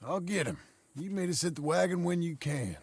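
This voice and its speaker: An actor playing a scheming, matter-of-fact American cowboy outlaw with a gravelly voice in a video game cutscene. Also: male voice, on the high side, animated, brisk. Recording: faint background noise.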